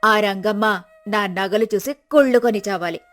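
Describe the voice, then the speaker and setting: A woman voicing a scheming, sharp-tongued poor village wife in a storybook drama, talking in a bossy, scolding way. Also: mid-range voice, normal speed, soft background music, lightly acted.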